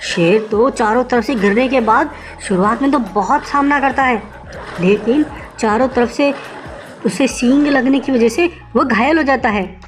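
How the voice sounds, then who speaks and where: A woman's voice: a little high, unhurried. A woman telling an animal fable for children in an informal storytelling style. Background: music.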